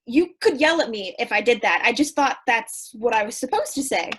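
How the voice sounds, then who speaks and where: An awkward, flippant young person played by a woman in a staged play reading. The voice is high and bounces around a lot, with a casual, placating, hesitant manner. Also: animated, a little fast, husky.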